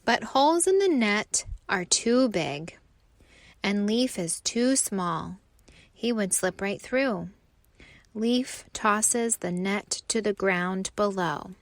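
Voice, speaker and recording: A woman narrating a children's storybook aloud with expressive, deliberate phrasing. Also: mid-range voice, no room sound.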